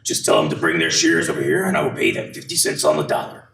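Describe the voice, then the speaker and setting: A man in a radio play acting a scheming, menacing old banker with a creaky voice and false concern. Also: very deep voice, flat delivery, quick pace, a bit bright, far from the mic. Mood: smug, oily. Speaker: actor in a youth group radio play. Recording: echoey room, off mic, faint noise.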